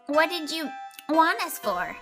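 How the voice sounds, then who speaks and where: A woman's voice: high voice, very animated, unhurried, music in the background, exaggerated voice. A woman voicing a small, playful, hyperactive childlike girl in an audio drama. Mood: curious.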